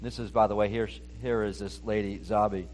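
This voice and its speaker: A man preaching a sermon, earnest and steady, his voice rising when he reads scripture aloud. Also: on the low side, a little fast, a little hiss or hum. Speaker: pastor.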